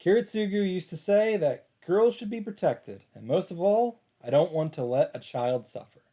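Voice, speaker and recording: A man's voice: high voice, animated, moderate pace, warm tone. A man hosting a visual novel playthrough, casually summarizing and reacting to the story and swearing freely.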